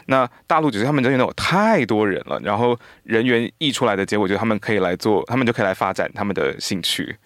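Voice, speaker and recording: A man's voice: lowish voice, animated, fast, hardly any pauses, a bit bright, dry recording, studio quality. A man with a Taiwanese Mandarin accent chatting as an interview guest, agreeable and keen to explain. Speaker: guest, audio drama practitioner. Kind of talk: casual interview talk.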